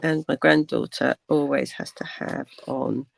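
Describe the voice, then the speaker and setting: An older woman with a croaky voice, chatting casually through a craft tutorial, rambling with many ums and dropping to a whisper while she works. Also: very deep voice, steady tone, measured pace. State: croaky from cold weather. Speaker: crafting channel host.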